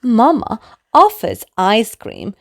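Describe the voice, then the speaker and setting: High-pitched, animated woman reading to children. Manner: animated, slow, clearly addressed to small children. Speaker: children's storybook narrator. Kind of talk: expressive read-aloud bedtime story for toddlers.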